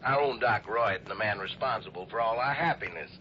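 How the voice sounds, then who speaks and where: An actor playing a weary, grudging, folksy small-town police chief in a 1950s radio drama. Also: male voice, lowish voice, fairly quick, bright, forceful tone. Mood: wry resentment. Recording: noisy, dull 1950s radio recording.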